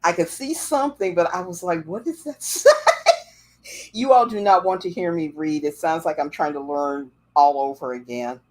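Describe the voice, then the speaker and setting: Chatty woman with a lowish voice. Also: normal speed, a little mellow, low-quality recording. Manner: rambling, chatty storytelling. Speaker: livestream host. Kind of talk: casual chat. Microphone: livestream setup.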